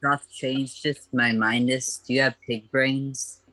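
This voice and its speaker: A man's voice: medium pitch, level tone, slow pace, bright, forceful tone, no echo, low-quality recording. Man playing a droll diner customer, close and dry. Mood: droll, theatrical. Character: hammy, pun-loving male diner customer. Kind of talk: amateur comic radio sketch.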